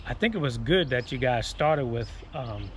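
Animated American man chatting outdoors. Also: mid-range voice, normal speed. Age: middle-aged. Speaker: co-host filming the vlog. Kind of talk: casual chat. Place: outdoors in a fenced backyard. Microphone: camera held at arm's length. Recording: faint outdoor background noise.

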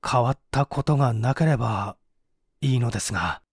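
A man voicing a courteous, earnest, worried young gentleman in an audiobook reading. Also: mid-range voice, moderate pace, breathy edge, no room sound, studio quality, pitched below the performer's own voice. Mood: concerned. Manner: polite and earnest. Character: earnest, courteous young master, worried about his father. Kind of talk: audiobook character voice.

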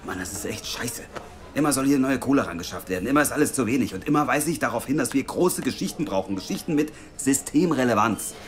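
An actor playing a blunt team boss who scolds a younger colleague. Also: male voice, mid-range voice, animated, quick pace, close to an everyday voice. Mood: irritated. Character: older man Gerrit, blunt team boss. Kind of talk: audio drama acting.

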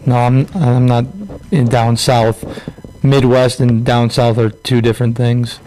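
A man's voice: medium pitch, flat delivery, a little slow, warm tone, a little hiss or hum, rough recording. A man giving a personal account as a radio show guest, earnest, defensive and a bit hesitant. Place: indoor room around a table.

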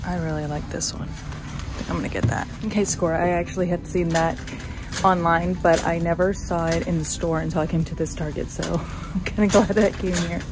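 A woman's voice: lowish voice, steady tone, a little fast. A young woman vlogging casually while browsing a large store, chatting and murmuring to herself as she films on a handheld phone. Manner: chatty, soft-spoken, often murmuring to herself. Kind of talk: casual shop-along commentary. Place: large retail store indoors. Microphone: handheld phone camera. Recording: loud music under the voice. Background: loud background music.

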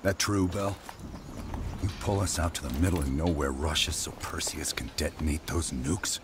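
An American actor playing a cold, commanding middle-aged CIA operative in a video game, with a grave, controlled delivery. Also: male voice, a bass voice, normal speed, noisy background. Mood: stern. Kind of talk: cinematic video game acting.

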